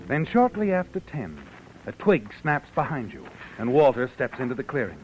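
A man's voice: medium pitch, big pitch swings, moderate pace, slight background noise, natural voice. Man as insinuating vintage radio suspense narrator. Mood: knowing, ominous. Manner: knowing, insinuating, dramatic. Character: male narrator, taunting inner voice of conscience. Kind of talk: 1940s radio suspense narration in second person. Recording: dull old radio broadcast with music.

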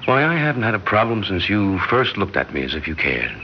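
An actor playing a smitten, smooth, reassuring married man in a secret affair, in old radio-drama style. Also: big pitch swings, fairly quick. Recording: muffled old radio recording, heavy rumbling noise.

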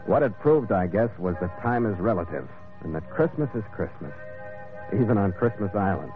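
Hearty skipper, old radio sound. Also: male voice, lowish voice, sing-song, normal speed. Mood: reflective, tender. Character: hearty male sailing-ship skipper, wry, reflective storyteller. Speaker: lead actor and narrator. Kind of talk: old-time radio adventure drama acting and narration. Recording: muffled vintage radio broadcast with loud music.

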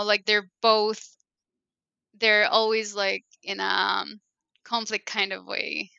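A woman speaks with a bright, clear and crisp tone. She rambles casually through her answers, restarting sentences and leaning on fillers. The audio is a little muffled and lo-fi, with a dry, close sound. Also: mid-range voice, no echo. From Egypt. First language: Egyptian Arabic. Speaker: guest, parenting consultant. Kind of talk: casual interview conversation.

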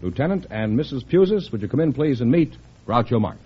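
A man's voice: mid-range voice, big pitch swings, a little fast, husky. An American man announcing a 1950s radio show in a formal, showmanlike way. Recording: muffled 1950s radio broadcast with loud music.

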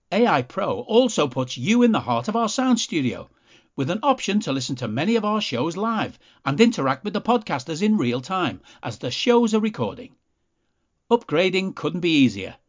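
A man reading a scripted promo for a podcast subscription in an upbeat sales pitch. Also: dull audio. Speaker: ad announcer.

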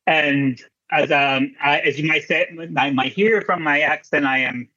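A man's voice: a little high, measured pace, pushed and bright, dry recording, highs cut off. A man with a Chilean-tinged New Jersey accent, a physician guest talking in a chatty, self-deprecating way. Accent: Chilean-tinged New Jersey English. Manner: chatty, self-deprecating, many restarts and laughs. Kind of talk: casual podcast interview.

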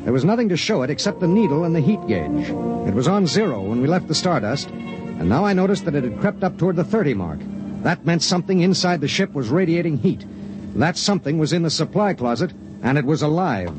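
A man's voice: fairly high pitch, big pitch swings, fairly quick, rough recording. An American man playing a troubled space pilot who narrates his grim story in a dramatic 1950s radio style. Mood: grim narration. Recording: old radio broadcast with music behind.

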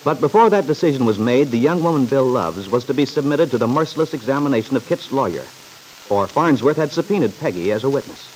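A man's voice: mid-range voice, animated, darkish tone, low-quality recording. Male vintage radio soap narrator, light hiss. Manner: formal, measured storytelling. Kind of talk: old-time radio soap opera narration, scripted. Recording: hissy vintage radio recording.

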